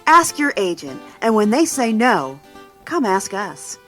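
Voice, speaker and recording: A woman's voice: mid-range voice, very animated, unhurried, fairly bright tone. A woman playing an upbeat, salesy announcer in a scripted insurance commercial for an audio drama. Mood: upbeat sales pitch. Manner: salesy, rhetorical. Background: guitar music.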